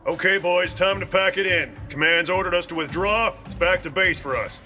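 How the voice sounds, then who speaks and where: A man's voice: high-pitched, moderate pace, bright, forceful tone. A man voicing a blunt, commanding army officer who brushes people off. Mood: gruff. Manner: curt, commanding. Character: gruff male officer, blunt, dismissive. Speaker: army lieutenant. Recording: cutscene with score music.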